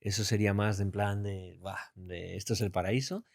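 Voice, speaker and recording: A man from Águilas, a guest in a casual podcast interview, talking in a relaxed, reflective way with drawn-out phrases. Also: fairly low pitch, creaky, no room sound, studio quality. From Águilas, Murcia, Spain. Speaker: interview guest, training company founder.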